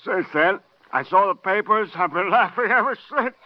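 A high-pitched boozy down-and-outer. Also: male voice, lively intonation, moderate pace. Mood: boastful, bitter. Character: boozy, bitterly amused down-and-out man. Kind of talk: 1950s radio drama acting. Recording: muffled dry vintage radio recording.

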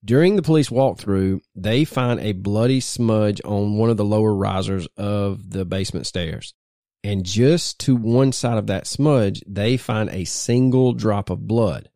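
A man co-hosting a podcast, telling the details of a true crime case in a measured storytelling way. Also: lowish voice, dark tone, no room sound, studio quality. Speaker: podcast co-host.

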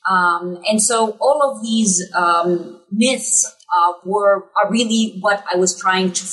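A woman in her thirties from Egypt, an author answering interview questions in a measured way with many ums and restarts. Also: mid-range voice, fairly bright tone, far from the mic, poor audio. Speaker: author guest. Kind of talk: academic podcast interview.

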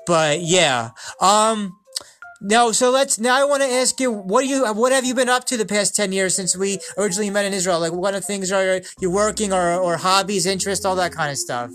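An American man hosts a casual video call, speaking slowly and deliberately and often repeating himself. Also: high-pitched, fairly bright tone, soft background music. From United States. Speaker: host.

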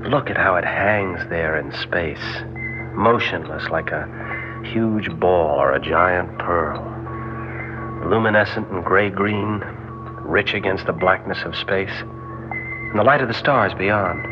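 Eager young space explorer, muffled radio. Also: male voice, sits low, takes it slowly. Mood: awed. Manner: excitable, dreamy, wondering. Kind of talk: old-time radio drama acting. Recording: dull old radio, music behind.

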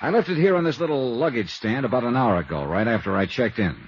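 A man playing a brisk, hard-boiled American insurance investigator in 1950s radio style, clipped and wry as he narrates and talks. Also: mid-range voice, animated, a little hiss or hum, close to an everyday voice. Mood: matter-of-fact. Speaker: lead actor and narrator of a radio detective series. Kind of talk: 1950s radio acting, scripted first-person narration. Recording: muffled vintage radio broadcast with music.

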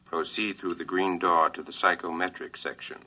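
A man's voice: low-pitched, level tone, normal speed, pushed and bright, heavily acted. A man playing a droning, impersonal robot that gives clipped formal orders in an old radio drama. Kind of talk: 1950s radio drama acting. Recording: noisy vintage radio recording.

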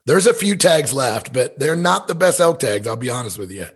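American guy in his 50s from missouri, kinda raspy, folksy rambling podcast talk. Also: medium pitch, lively intonation, moderate pace. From Missouri, USA. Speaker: podcast guest, elk hunter and business owner. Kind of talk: casual conversational storytelling.

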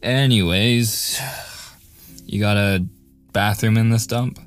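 A man's voice: on the low side, fairly even intonation, slow pace, faint music behind. A man playing a cocky young student bully who sneers and gets flustered, in a boyfriend ASMR audio roleplay. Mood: smug, mocking. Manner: sarcastic, taunting, hesitant stammering under pressure.

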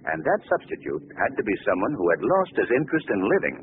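Suave, animated gentleman sleuth. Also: fairly low pitch, quick pace. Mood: assured, wry. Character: urbane, assured gentleman sleuth. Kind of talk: 1940s radio acting. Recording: noisy, tinny old radio broadcast recording.

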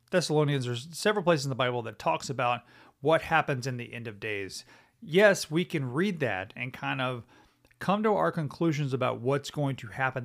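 Gravelly middle-aged man teaching scripture. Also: mid-range voice, a bit bright. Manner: conversational, thinking aloud with frequent "uh" and "right?" tags. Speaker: podcast host and Bible teacher. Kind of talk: casual Bible teaching podcast.

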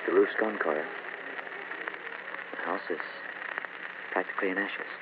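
An actor playing an earnest, exasperated young husband with halting delivery in an old time radio drama. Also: male voice, sits low, close to an everyday voice. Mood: earnest, explaining. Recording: noisy narrowband vintage radio recording.

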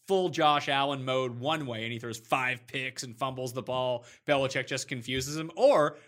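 A man's voice: medium pitch, normal speed. A man hosting a casual sports podcast, chatting animatedly with a guest about fantasy football picks. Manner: animated, plays with mock French voices. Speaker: podcast host.

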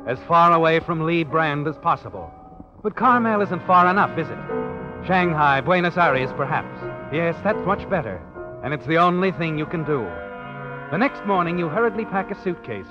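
A man's voice: a little high, expressive, normal speed, lightly acted. Man doing creepy knowing old radio suspense narrator, taunting, music behind him, muffled vintage recording. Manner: insinuating, ominous. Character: knowing, taunting male narrator haunting the hero. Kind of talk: 1940s radio suspense narration in second person. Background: dramatic music.

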